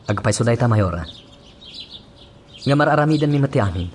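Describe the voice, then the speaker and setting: A man's voice: medium pitch, lively intonation, a little fast, a little hiss or hum, close to an everyday voice. A man playing a dutiful, concerned police chief in a radio drama, with an Ilocano accent.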